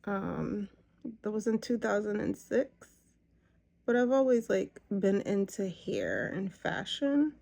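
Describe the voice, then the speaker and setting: A woman's voice: mid-range voice, big pitch swings, slow pace, creaky, a little mellow. A woman in her thirties chatting casually as she does her makeup, rambling with lots of ums. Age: 30s. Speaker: makeup vlogger. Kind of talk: casual chat while doing makeup.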